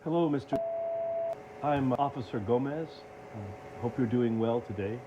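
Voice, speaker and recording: A man's voice: mid-range voice, normal speed, natural voice. A man playing a hesitant, polite police officer formally questioning someone in an analog horror recording. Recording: dull lo-fi archive tape, noisy hum.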